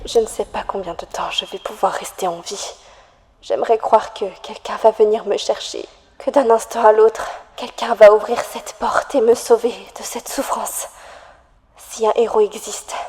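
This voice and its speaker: A woman's voice: mid-range voice, fairly even intonation, normal speed, a little mellow, natural voice. A woman voicing a desperate young woman trapped in darkness, pleading earnestly in a dramatic horror cartoon monologue. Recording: long reverb.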